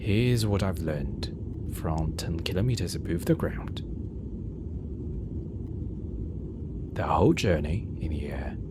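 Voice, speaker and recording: Man as reassuring airline captain, ASMR roleplay, low-pitched, soft breathy near-whisper, gentle measured pauses, clear engine rumble behind. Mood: hushed, soothing. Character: calm, reassuring male airline captain addressing night-flight passengers. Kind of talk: soothing ASMR roleplay monologue. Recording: steady rumbling aircraft cabin noise. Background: low engine rumble ambience.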